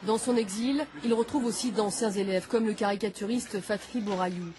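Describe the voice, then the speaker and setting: A woman narrating a news report in a measured, phrase-by-phrase script. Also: medium pitch, a crowd in the background.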